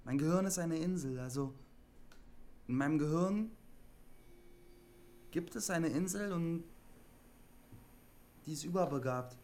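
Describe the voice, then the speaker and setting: A man's voice: on the high side, a little slow, pauses often, a little mellow, close to an everyday voice. An actor playing a withdrawn, literal-minded CB radio operator in his twenties, matter-of-fact and pedantic, in a naturalistic radio drama. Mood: withdrawn, earnest. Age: 20s.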